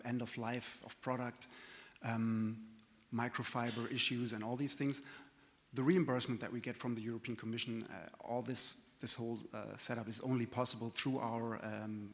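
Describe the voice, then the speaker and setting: A man who is not a native English speaker gives a friendly, self-deprecating conference presentation, with frequent fillers and restarts. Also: medium pitch. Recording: reverberant conference hall.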